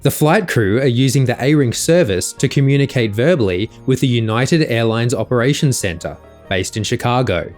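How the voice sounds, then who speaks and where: A man reading a scripted explanatory narration in a measured, precise, instructional way. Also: mid-range voice, animated, darkish tone. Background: music.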